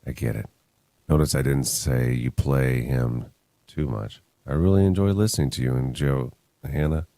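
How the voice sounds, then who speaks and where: A man's voice: very deep voice, normal speed, gravelly, warm tone, no echo. A man hosting a morning radio show, joking around and reading listener messages aloud. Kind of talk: casual morning radio banter. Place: radio studio. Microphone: studio microphone.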